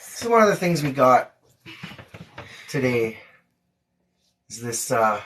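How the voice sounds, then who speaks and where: A woman's voice: extremely low pitch, very animated, takes it slowly, fairly bright tone, a little hiss or hum, rough recording. A woman hosting a livestream, talking in a casual, informal chat style. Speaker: livestream host and parent.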